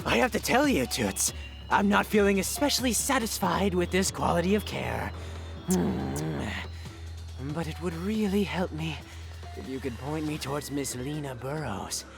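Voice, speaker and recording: An actor playing a sadistic masked villain in an audio drama, mockingly polite and theatrical in a taunting, menacing way. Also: male voice, on the high side, lively intonation, moderate pace, creaky, over music. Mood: gleefully menacing. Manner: theatrical, taunting, sing-song.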